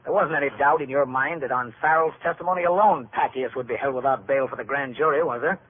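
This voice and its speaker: Actor as male police captain, authoritative, matter-of-fact, radio crime drama, medium pitch, tinny sound. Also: slightly rough, lightly acted. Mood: probing. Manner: measured, matter-of-fact questioning. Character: steady, authoritative police captain in charge of a precinct. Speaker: actor playing captain and narrator. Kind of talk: old-time radio police drama acting. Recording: tinny, noisy vintage radio recording.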